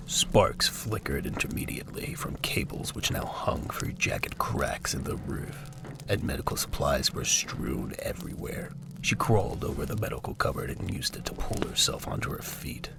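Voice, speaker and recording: A man's voice: extremely low pitch, gravelly, very noisy. A man narrating a scripted audio drama in a dramatic storytelling style. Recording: music bed under the voice.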